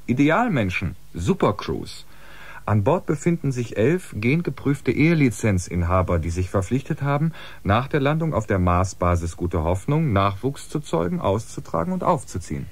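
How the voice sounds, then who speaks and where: An actor playing an assured spaceship coordinator, explaining in an official manner in a German audio drama. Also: male voice, fairly low pitch. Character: assured male spaceship coordinator guiding a newcomer. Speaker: coordinator and guide.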